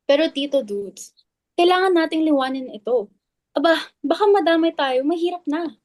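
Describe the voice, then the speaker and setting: Blunt, high-voiced suspicious woman played by an actress. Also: normal speed, darkish tone, close to an everyday voice. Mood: insistent, wary. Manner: insistent, accusing. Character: Marife, blunt, suspicious, confrontational female club member. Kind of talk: dramatic reading.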